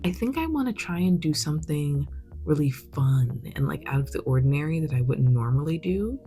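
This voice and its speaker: A woman's voice: sits low, gravelly, darkish tone. A woman with a New York accent chatting candidly and at length while doing her makeup in her glam room at home. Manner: verbose, bougie, candid. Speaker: vlogger.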